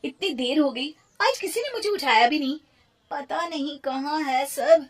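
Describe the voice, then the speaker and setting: A woman voicing a lazy, haughty elder daughter-in-law in a cartoon, loud, complaining and dramatic. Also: high voice, expressive, a little slow, recorded from a distance. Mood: whining, dismayed.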